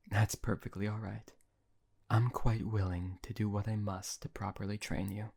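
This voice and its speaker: A man's voice: on the low side, a little mellow. A man playing a possessive, cruel yet doting male emperor in an audio roleplay, speaking in a silky, condescending way that often drops to a whisper.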